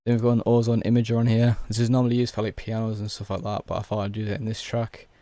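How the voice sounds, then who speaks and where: Hoarse young man, flat and low. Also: fast, dry recording. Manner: laid-back, explains step by step with many fillers. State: hoarse, losing his voice. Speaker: music producer. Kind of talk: casual software tutorial.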